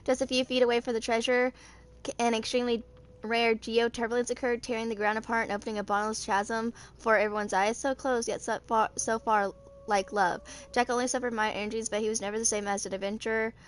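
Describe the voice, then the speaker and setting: A woman's voice: a little high, flat delivery, fast. A woman in her twenties reading story text aloud in an amateur way, with small stumbles. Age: 20s. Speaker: video creator reading game character lore.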